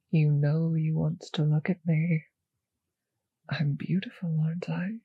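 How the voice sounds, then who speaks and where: A seductive female vampire mistress, acted out by a woman speaks in a very husky, breathy voice that often drops to a whisper. She coaxes and commands with hypnotic, possessive teasing. It is an intimate ASMR roleplay, close on the mic and very dry, with a slow purr. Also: deep voice, warm tone, no echo, lo-fi sound, exaggerated voice. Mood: seductive, coaxing. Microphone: close microphone, dry.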